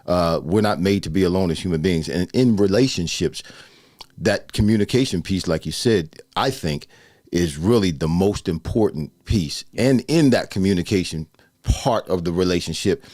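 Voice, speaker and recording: A man in his fifties with a low voice and a loud, animated, playful way of talking, hosting a casual interview. He talks into a close studio mic, and the sound is dry. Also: normal speed, dry recording. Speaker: podcast host.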